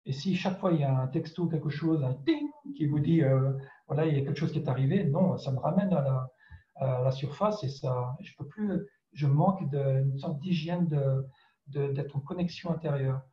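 Male writer, introspective interview delivery with searching pauses; slightly high voice, roomy muffled recording. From France. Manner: hesitant, searching for words, introspective. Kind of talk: reflective spoken interview answer. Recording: dull, roomy, echoing sound.

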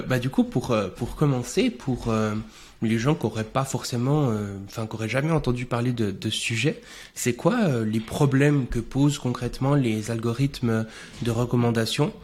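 A man's voice: mid-range voice, darkish tone. A man hosting a podcast, reading a punchy opening and then asking loose questions with fillers. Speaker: podcast host. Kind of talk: podcast intro and interview questions.

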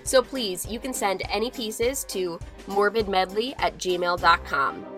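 A woman's voice: medium pitch, a little slow, fairly bright tone. A woman hosting a podcast, talking casually and in an upbeat, chatty way straight to her listeners. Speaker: podcast host. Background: music.

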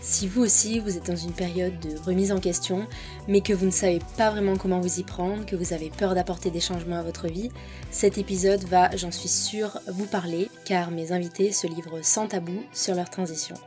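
Woman podcast host, lower-pitched voice. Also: fairly even intonation, normal speed, a little mellow, soft background music. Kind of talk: podcast introduction and interview.

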